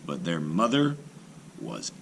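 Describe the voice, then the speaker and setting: A male teacher reads a fairy tale aloud for children. His voice is low but bright, with lively, animated intonation, and a faint hiss of noise sits behind it. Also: slow pace, gravelly. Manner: expressive, animated storytelling. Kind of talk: storybook read-aloud.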